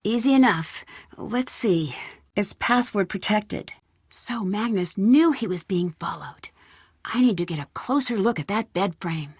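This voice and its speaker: A woman's voice: medium pitch, animated, measured pace, darkish tone, breathy edge, dry recording. A woman playing a curious, persistent young amateur detective in a video game, speaking in an inquisitive way. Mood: musing, curious.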